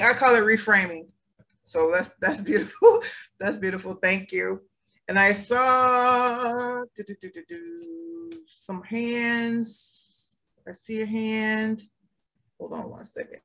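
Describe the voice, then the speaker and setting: A woman's voice: a little high, very animated, slow, sharp tone. A woman facilitating an online workshop over a video call, affirming speakers and reading comments from the chat. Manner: affirming, reads from chat, hums while scrolling.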